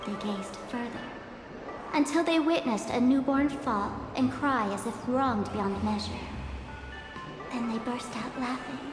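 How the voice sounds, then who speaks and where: A woman playing a mysterious, calm guide in a video game, speaking in a theatrical, measured, teasing way. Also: fairly high pitch, natural voice. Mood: solemn, mysterious. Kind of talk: dramatic game dialogue storytelling. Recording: echoey hall with loud music behind.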